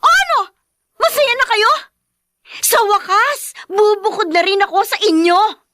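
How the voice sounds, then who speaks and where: A woman's voice: extremely high pitch, unhurried, bright, forceful tone, a little hiss or hum, full character voice. An actress playing an anguished, bitter young adult daughter of an alcoholic father in an emotional Filipino radio drama. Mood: angry, hurt. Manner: emotional, pleading outbursts.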